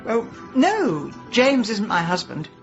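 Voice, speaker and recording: An actress playing a sharp-tongued, determined middle-aged amateur sleuth in a radio drama. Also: female voice, mid-range voice, wildly swooping pitch, highs cut off, soft background music, heavily acted. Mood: wry, chatty. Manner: brisk, insistent, argumentative.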